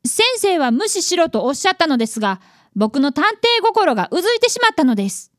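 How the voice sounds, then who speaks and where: A very high, lively boy's voice acted by a woman, close and dry. He is an earnest young apprentice detective in a radio drama, polite and dramatic in the way he tells things. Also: fairly quick, no echo. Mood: earnest narrating. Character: earnest excitable eleven-year-old boy apprentice detective. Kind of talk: radio drama narration and dialogue.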